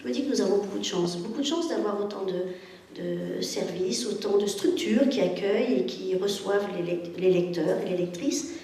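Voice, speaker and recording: A woman's voice: mid-range voice, moderate pace, warm tone. Emphatic woman speaking in a very echoey hall. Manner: emphatic, repeats phrases. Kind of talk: earnest public speech. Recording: very echoey hall, distant microphone, faint noise.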